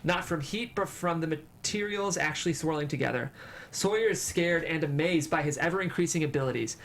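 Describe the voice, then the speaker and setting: Guy reading script action lines at a table read, voice on the high side, slight noise behind. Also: brisk, fairly bright tone. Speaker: narrator reading stage directions. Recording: faint room noise.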